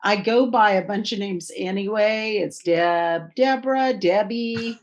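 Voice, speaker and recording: A woman's voice: medium pitch, unhurried. A woman who grew up in Thailand, a guest author chatting casually in an interview and laughing as she tells anecdotes.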